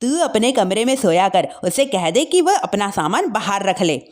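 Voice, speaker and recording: A woman's voice: mid-range voice, sing-song, moderate pace, darkish tone, voice pushed down, natural voice. A woman voicing a stern older mother-in-law who scolds and gives orders in a bossy, commanding way. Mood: concerned, bossy.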